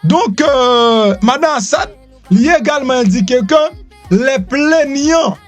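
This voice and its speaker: A man with a Haitian accent giving lively, teasing news commentary, switching between Creole, French and English. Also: very high-pitched, takes it slowly, soft background music. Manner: lively, repetitive, teasing asides.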